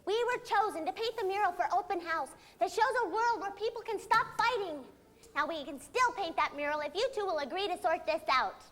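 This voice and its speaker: A performer's very high, bright girl peacemaker. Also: lively intonation, brisk. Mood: earnest coaxing. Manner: coaxing, earnest, instructive. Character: earnest, bossy young girl peacemaker puppet. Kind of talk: children's educational musical puppet show. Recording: old VHS tape, faint music.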